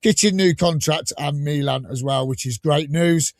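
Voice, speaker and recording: A man hosting a live fan podcast, enthusiastic and rambling, a little croaky from a cold. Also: a little high, normal speed, no room sound, studio quality. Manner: enthusiastic, rambling, laughs often. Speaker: podcast host.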